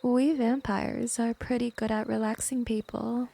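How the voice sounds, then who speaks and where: A woman voicing a gentle, teasing, seductive vampire hypnotist in a soft, breathy ASMR roleplay, coaxing and soothing. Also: on the high side, little pitch movement, a little slow. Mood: calm, inviting. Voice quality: soft, breathy, often whispering.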